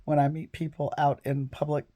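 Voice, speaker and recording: A woman in her fifties, hoarse and froggy from a cold, chatting casually to camera at home and rambling off into digressions. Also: low-pitched, level tone, fairly quick, mellow. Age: 50s. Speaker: vlogger.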